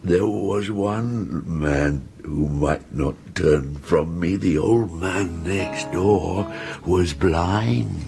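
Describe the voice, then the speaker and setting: Slow-spoken, anguished monster. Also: male voice, deep voice, vocal fry, music in the background, heavily acted. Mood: sorrowful, pleading. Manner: formal, slow, theatrical delivery. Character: anguished, articulate male monster, outcast and vengeful. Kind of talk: radio drama acting, first-person narration and dialogue.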